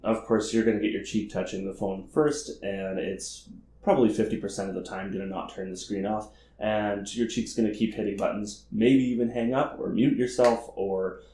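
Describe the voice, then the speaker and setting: A man's voice: on the low side, normal speed, dark tone, slight background noise. A man hosting an informal explainer video, talking in a wordy, meandering way. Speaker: video creator and host. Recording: roomy echo.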